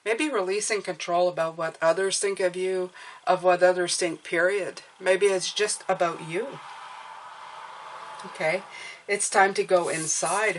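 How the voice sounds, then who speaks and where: A woman's voice: lowish voice, a little hiss or hum, rough recording. A woman giving a casual tarot reading who sounds stuffed up and nasal, speaking encouragingly and often checking in with "okay?". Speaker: tarot reader.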